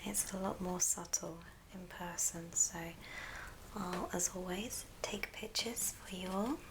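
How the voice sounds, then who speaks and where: A woman whispers an unhurried, step-by-step craft tutorial in a breathy ASMR style, as the host demonstrating it. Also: fairly low pitch. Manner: unhurried, murmured step-by-step narration. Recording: hissy background noise with small clicks.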